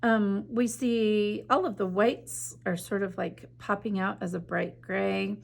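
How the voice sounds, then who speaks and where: Slow, gravelly-voiced woman reading aloud. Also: medium pitch, steady tone. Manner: gentle, measured reading with soft drops in volume; enthusiastic, chatty when discussing the art. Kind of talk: slow storybook read-aloud, then art discussion.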